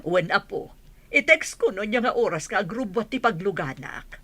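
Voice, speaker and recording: A woman's voice: mid-range voice, lively intonation, moderate pace, a bit bright. Distant-sounding elderly grandmother. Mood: plain, affectionate. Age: older. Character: elderly village grandmother on the phone. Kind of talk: Ilocano radio drama acting.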